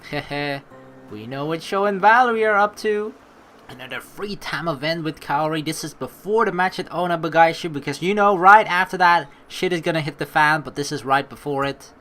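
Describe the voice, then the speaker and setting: A young man hosting a let's play, reading the story aloud and adding expressive, rambling commentary. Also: on the high side, normal speed, a little hiss or hum.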